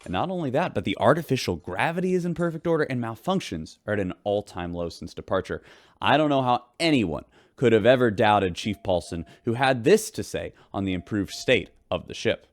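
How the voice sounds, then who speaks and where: Lively spaceship radio host, acted by a man. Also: fairly low pitch, a little fast, no room sound, lightly acted. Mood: upbeat, newsy. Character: chirpy male radio host aboard a spaceship. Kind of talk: scripted radio broadcast and interview.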